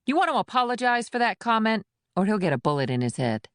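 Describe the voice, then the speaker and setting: A man's voice: high-pitched, very animated, quick pace, a bit bright, smooth, no echo, studio quality, voice pushed up. A man voicing a menacing, taunting female agent in an audiobook thriller. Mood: threatening. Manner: taunting, threatening. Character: cold menacing woman agent with gun. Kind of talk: audiobook narration.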